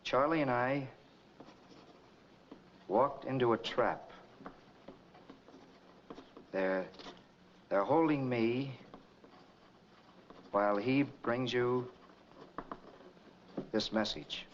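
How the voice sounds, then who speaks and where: A man's voice: medium pitch, very slow, long pauses, slight background noise. A man playing a cold, commanding killer in a 1940s crime film, talking in curt, tough slang. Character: cold, menacing male killer and escaped convict in crime film. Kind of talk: 1940s film noir acting. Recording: dull vintage film soundtrack, music behind.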